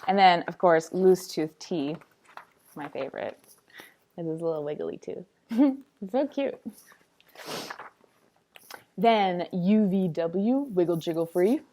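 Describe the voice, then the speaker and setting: A woman's voice: on the low side, slow pace, pauses often, mellow, a little hiss or hum. A woman reading a picture book aloud like a teacher, with a sing-songy, playful, dramatic delivery and chatty asides.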